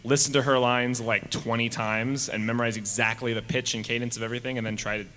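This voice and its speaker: Male panelist, bright medium-pitched voice, informal anecdotes, reverberant live hall. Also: level tone, fast. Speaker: convention panelist, fan audio drama creator. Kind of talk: casual chat, telling anecdotes. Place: large hall at a fan convention. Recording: echoey noisy hall, PA sound.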